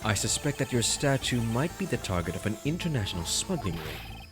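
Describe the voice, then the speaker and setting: A man voicing a stiff, formal male prosecutor in a video game, reading the lines in a measured, formal way. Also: medium pitch, very animated, a bit bright, faint music behind.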